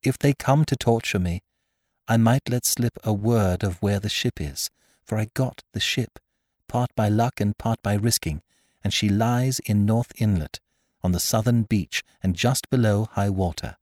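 A man's voice: on the low side, unhurried, a little mellow, dry recording, clean studio sound. A man voicing a tearful, steadfast young boy. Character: frightened but honest young boy.

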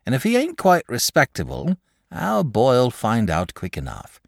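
A man's voice: medium pitch, huge pitch swings, unhurried, vocal fry, no room sound, studio quality, voice pushed up, exaggerated voice. A man voicing a sensible, kindly country mother in a storybook, reasoning soothingly in homely rural dialect. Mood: gentle, sympathetic. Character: sensible, kindly rustic mother, shepherd's wife. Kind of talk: storybook character voice.

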